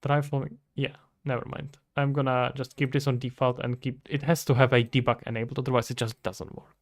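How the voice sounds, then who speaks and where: A man's voice: mid-range voice, level tone, fairly quick. Young man thinking aloud. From Czech Republic. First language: Czech. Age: 20s. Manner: thinking aloud, talking to himself and viewers. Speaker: streamer, indie game developer. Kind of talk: casual livestream chat while working. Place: indoors at a computer.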